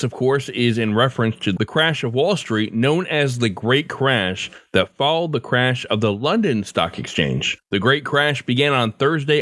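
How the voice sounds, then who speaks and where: A man narrates as a podcast host from a script. His voice sits at a middle pitch, recorded dry with no room sound. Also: fairly bright tone, no echo.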